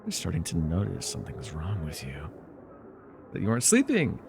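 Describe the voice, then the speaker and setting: A man's voice: deep voice, wildly swooping pitch, normal speed, vocal fry, background noise, heavily acted. A man playing a villainous nightmare lord in an ASMR fantasy roleplay, sly, taunting and flirtatious, with a theatrical, teasing, mockingly sweet manner. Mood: mocking, insinuating.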